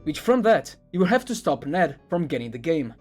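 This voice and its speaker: A man's voice: a little high, very animated, normal speed, a little mellow, slightly rough. A man reading scripted narration in the style of an internet video essay. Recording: music under the voice. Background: background music.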